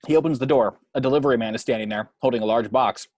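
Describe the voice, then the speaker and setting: A man's voice: medium pitch, fast talker, a little mellow, a little hiss or hum. A man reading out the action description of a screenplay as a scripted narrator.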